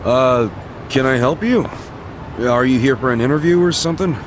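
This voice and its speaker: An actor playing a loyal, brash street tough with a warm, slangy way of talking, in an English game dub. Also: male voice, mid-range voice, moderate pace, noisy background, close to an everyday voice. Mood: awkward, hesitant. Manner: informal, slangy, reassuring.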